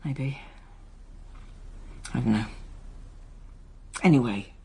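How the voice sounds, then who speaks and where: An actress in a radio drama playing a strained, defensive schoolteacher daughter being questioned by police, halting and bristling with emotion. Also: female voice, very deep voice, mellow, heavily acted. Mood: uneasy, guarded. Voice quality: husky. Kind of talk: naturalistic radio drama dialogue.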